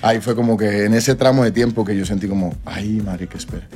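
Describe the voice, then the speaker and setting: A man's voice: fairly low pitch, fast talker. A Colombian man in his twenties, a singer talking casually in an interview, rambling with slang and restarts. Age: 20s.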